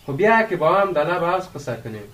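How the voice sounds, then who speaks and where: An impatient village man in a radio drama, played by a man. His voice is high and very animated, with blunt, bantering prods. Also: slight background noise. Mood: teasing, impatient.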